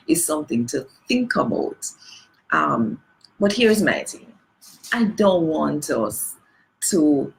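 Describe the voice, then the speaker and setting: A woman's voice: deep voice, creaky, poor audio. A Jamaican woman leading a livestreamed worship reflection, earnest and emphatic, pressing her points with rhetorical questions. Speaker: worship leader. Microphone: phone or webcam on a live stream.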